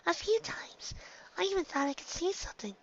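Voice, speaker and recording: A narrator voicing a timid young female pegasus pony, speaking softly and hesitantly in a high voice. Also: animated, brisk, dull audio, slight background noise, exaggerated voice. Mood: nervous. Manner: soft, hesitant, high-pitched.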